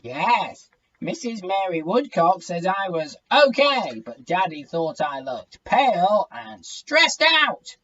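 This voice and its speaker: A reader voicing an excitable, blunt young boy, in a breathy, insistent storybook voice. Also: mid-range voice, slow, dull audio, pitched above the performer's own voice, full character voice. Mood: earnest.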